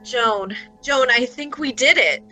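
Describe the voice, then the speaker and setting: A woman playing a concerned, excitable friend of an accused young woman, urgent and emphatic, in old-time radio drama style. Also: fairly high pitch, slow, soft background music. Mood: excited relief.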